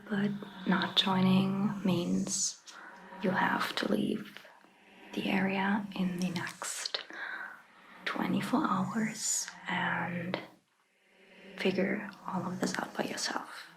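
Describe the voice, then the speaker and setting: A woman speaking in a breathy, gentle ASMR roleplay style as a caring, reassuring vampire doctor, with hesitant pauses. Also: medium pitch, poor audio. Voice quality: breathy, whispery. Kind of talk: soft-spoken ASMR roleplay.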